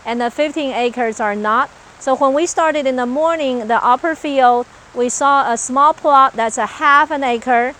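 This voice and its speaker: A woman's voice: high-pitched, a bit bright, slight background noise. A woman from Taiwan with a Taiwanese accent, an agricultural researcher explaining her farm work in an earnest, list-like way with some hesitations, speaking outdoors on a farm. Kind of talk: explanatory interview talk.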